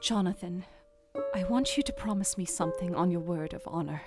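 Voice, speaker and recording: An actress playing an earnest, devoted young wife, pleading with solemn intensity in a radio play. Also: female voice, fairly low pitch, fairly quick, loud music behind the voice, lightly acted. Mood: urgent, imploring.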